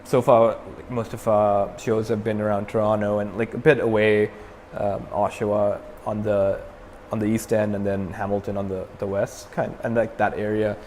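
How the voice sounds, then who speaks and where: A man's voice: fairly low pitch, normal speed, hardly any pauses, big-room sound, a little hiss or hum. A young man from Sri Lanka, a metal band frontman, chatting casually as an interview guest with lots of fillers and restarts. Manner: relaxed, many fillers and restarts.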